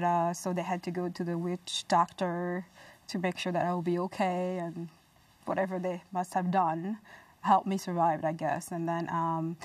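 Low-voiced Haitian woman, fairly even. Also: no echo, far from the mic. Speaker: talk show guest. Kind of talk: personal testimony in conversation. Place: small dark TV studio, indoors.

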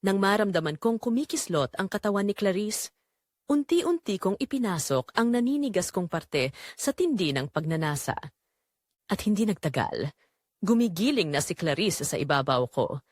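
A woman reading a scripted first-person dramatic story in a measured, expressive storytelling manner. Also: mid-range voice, dry recording, clean studio sound. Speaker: narrator.

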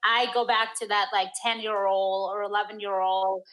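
A woman's voice: mid-range voice, little pitch movement, moderate pace, a bit bright, rough recording. A woman from Peru talking as a podcast guest, reflective and searching, with many "like" fillers. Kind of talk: candid interview conversation.

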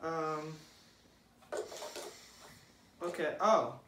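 A teenage boy hosting a casual unboxing video in a living room, slowly talking through each step as he assembles a toy. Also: on the high side, background noise. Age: teens. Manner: slow, halting, describes each step aloud. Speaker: unboxing host. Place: living room, seated at a table. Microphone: camera across the table. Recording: roomy, camera some distance off.

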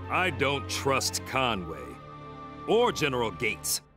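A man's voice: medium pitch, sing-song, slow pace, music in the background. A man voicing an earnest, wary young colonial man who talks with urgency.

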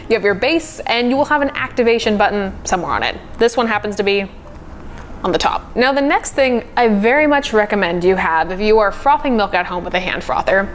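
A young woman in her twenties hosting a coffee tutorial in her home kitchen, chatty and upbeat, laughing often. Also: a little high. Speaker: coffee video host. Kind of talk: instructional kitchen vlog.

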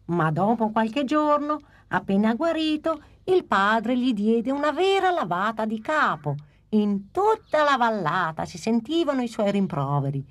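Woman narrator, children's cartoon, calm measured delivery. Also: on the high side. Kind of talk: scripted storybook narration.

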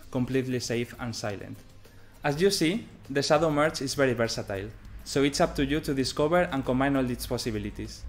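A man in his thirties giving a scripted presentation as a game developer. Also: medium pitch. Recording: music behind the voice. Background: background music.

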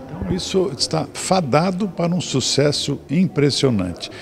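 A man's voice: a little high, expressive, normal speed, vocal fry. A man in his sixties with a Brazilian accent, interviewing effusively on a handheld mic at an event. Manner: effusive, full of superlatives. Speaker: TV show host and interviewer. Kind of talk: on-location interview. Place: wood-panelled event lounge. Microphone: handheld microphone.

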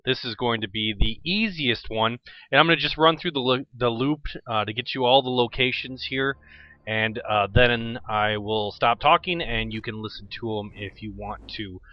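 A man's voice: medium pitch, normal speed, lo-fi sound. A man giving casual walkthrough commentary for a video game. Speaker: game guide video maker.

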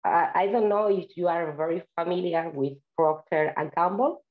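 A woman from Spain with a Spanish accent speaks in a notably low voice, presenting over a video call. She talks in a friendly, chatty way, with frequent "uh" pauses. The audio is muffled and lo-fi. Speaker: invited speaker. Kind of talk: online seminar presentation.

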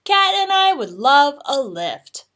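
A woman voicing a small, scheming, excitable male rat in a storybook, with eager, urgent speech. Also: sits high, slow, pitched above the performer's own voice, exaggerated voice. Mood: flattering.

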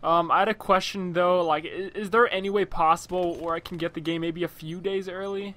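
A man's voice: sits high, steady tone, fairly quick, pushed and bright. A young man making casual prank calls, rambling and pleading in colloquial slang. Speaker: prank caller and video host. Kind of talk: casual prank phone chat.

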